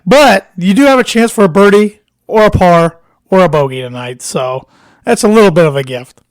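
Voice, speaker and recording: A man with a fairly high voice co-hosts a casual sports show, his delivery relaxed and chatty. His voice is a little hoarse. The sound is dry and close, with no room in it. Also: dry recording, low-quality recording. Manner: relaxed, conversational, reads trivia questions slowly. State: slightly hoarse. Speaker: co-host of a sports podcast.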